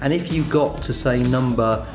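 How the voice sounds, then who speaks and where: A man's voice: mid-range voice, moderate pace, music in the background. A man in a documentary interview, enthusiastically explaining how things worked.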